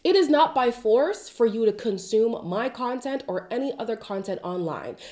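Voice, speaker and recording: A woman from Zimbabwe who is sick, hosting a casual pop-culture commentary show on her own, chatty and expressive, with side tangents. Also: on the high side, normal speed. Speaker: commentary channel host.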